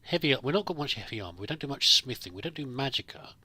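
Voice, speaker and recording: A man's voice: mid-range voice, sing-song, very fast, pushed and bright, slightly rough, dry recording. A man giving casual, improvised roleplay commentary over a video game, musing and thinking aloud as he plays. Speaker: gamer, let's play host.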